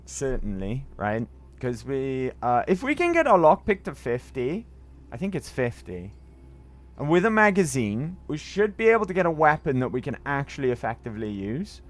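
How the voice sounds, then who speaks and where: A man with a croaky voice casually chatting to viewers while playing a game on a livestream, breaking into sudden exclamations. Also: mid-range voice, sing-song, normal speed. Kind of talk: casual gameplay livestream chat.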